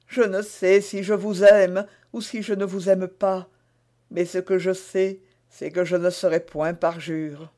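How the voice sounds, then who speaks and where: A man reading aloud and voicing an anguished, dignified young queen who pleads in raised cries. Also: a little mellow. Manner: pleading, rising to high emotional cries.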